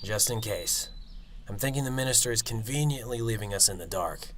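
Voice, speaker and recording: A man playing a cynical, sarcastic detective in an amateur radio drama, with a gruff, sardonic manner. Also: fairly low pitch, fairly quick, background noise, close to an everyday voice. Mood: sardonic, weary. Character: cynical, sarcastic Chicago detective, a worn father.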